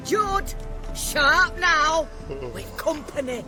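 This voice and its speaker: An actress voicing a wary, sharp-tongued rustic peasant woman in a video game, nosy and scolding in manner. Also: high-pitched, lively intonation, slow pace, over music. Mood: snapping. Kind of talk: video game character acting.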